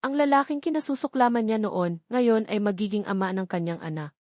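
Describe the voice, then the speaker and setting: A woman narrates a scripted audio drama. Her voice sits at a medium pitch. The sound is very dry, with no room on it. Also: fairly quick, darkish tone, no echo.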